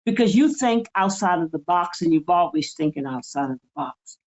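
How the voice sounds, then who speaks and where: A woman's voice: fairly low pitch, expressive, normal speed, darkish tone, muffled recording. A woman in her seventies, a podcast co-host, speaking with fiery, emphatic conviction in a preaching cadence. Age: 70s. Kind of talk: impassioned spiritual podcast talk.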